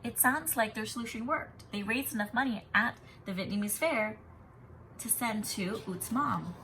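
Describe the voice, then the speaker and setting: Female kindergarten teacher, bright higher voice, animated read-aloud delivery, noticeable background noise. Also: normal speed. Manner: animated, explanatory, addressing young children. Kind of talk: expressive picture-book read-aloud with teaching asides.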